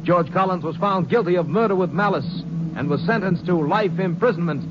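Brief: male narrator, high voice, loud hiss on muffled old radio. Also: brisk. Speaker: epilogue narrator. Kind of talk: reading a case epilogue.

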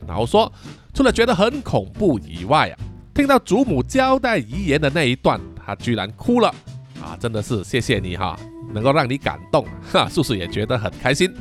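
A man, middle-aged, hosting a podcast in a casual, chatty style, reading out and answering listener comments with frequent sentence-final particles. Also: medium pitch. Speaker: podcast host.

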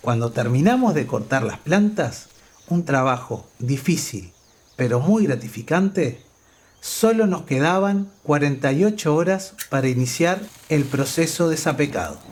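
A man's voice: fairly high pitch, animated, moderate pace. A man, talking earnestly and with feeling about his own life. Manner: earnest and heartfelt. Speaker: interviewee. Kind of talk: personal testimony.

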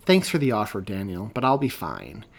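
A man's voice: on the low side, wildly swooping pitch, moderate pace, vocal fry, full character voice. A man voicing a meek but defiant young boy who is a drummer, speaking in heated retorts. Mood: calm, polite. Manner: rising, heated confrontation.

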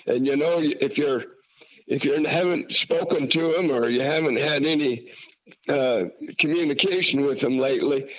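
A man's voice: medium pitch, poor audio. A man from Utah talking casually about family history, folksy and rambling with many fillers and restarts. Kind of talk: casual conversation.

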